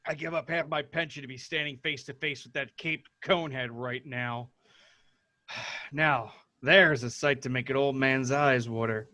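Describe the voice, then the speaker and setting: A man's voice: mid-range voice, steady tone, normal speed, sharp tone, close to an everyday voice. A man playing a wry, genial old police commissioner in a script table read.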